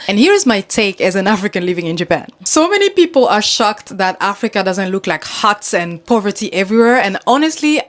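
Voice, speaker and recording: A young woman from Congo giving earnest, animated commentary, laughing now and then between points. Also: mid-range voice. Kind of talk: scripted talking-head commentary.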